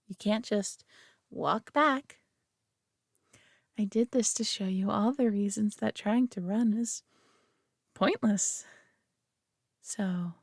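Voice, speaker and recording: A woman's voice: medium pitch, breathy edge, no echo, studio quality. A woman playing a proud, possessive but caring superior alien being in a roleplay audio drama, speaking in a haughty, condescending yet tender manner. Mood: smug, teasing.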